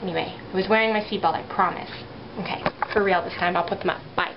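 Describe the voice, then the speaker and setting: A woman's voice: fairly low pitch, steady tone, quick pace. Young woman rambling to her cam in a bedroom, muffled old camera sound, hiss behind. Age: young adult. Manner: rambling, chatty, self-conscious. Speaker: vlogger. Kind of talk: casual vlog chat to camera. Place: small bedroom at night. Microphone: built-in camera mic at arm's length.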